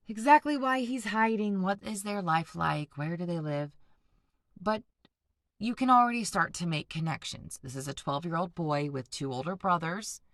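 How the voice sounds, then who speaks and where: A woman teacher reading a novel aloud to students, pausing to explain and ask them to picture things. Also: lowish voice, a bit bright, dry recording, clean studio sound. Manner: patient, explanatory, addresses students directly. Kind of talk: classroom read-aloud with discussion breaks.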